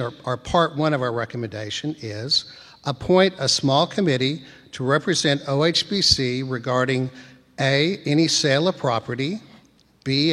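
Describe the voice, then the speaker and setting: Soft-spoken man reading report. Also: medium pitch. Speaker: church committee member presenting. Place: church sanctuary. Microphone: podium microphone. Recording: long church reverb.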